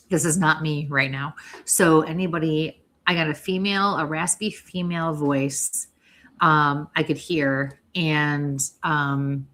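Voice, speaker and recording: A woman hosting a live-streamed spirit reading as a psychic medium, chatty and often clearing an irritated throat. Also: low-pitched, fairly even intonation, takes it slowly, fairly bright tone. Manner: chatty, keeps clearing her throat and coughing.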